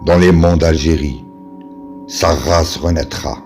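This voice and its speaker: A man with a very deep voice recites verse solemnly over music. His delivery is slow and declamatory, leaving weighty pauses between short phrases. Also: steady tone, a little mellow. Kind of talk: solemn dramatic poetry recitation.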